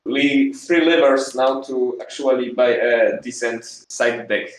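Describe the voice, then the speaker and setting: A man's voice: medium pitch, fairly even intonation, slow. A man chatting casually in a group podcast, sounding distant and very echoey.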